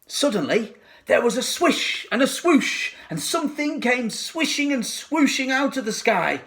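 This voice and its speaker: A man narrating a children's storybook expressively, with dramatic, deliberate phrasing. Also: very high-pitched, very animated, fairly bright tone. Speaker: teacher reading to pupils.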